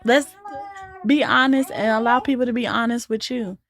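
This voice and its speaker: Earnest woman host, higher voice. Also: steady tone, moderate pace. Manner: earnest, emphatic, encouraging. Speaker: podcast host. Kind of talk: casual chat.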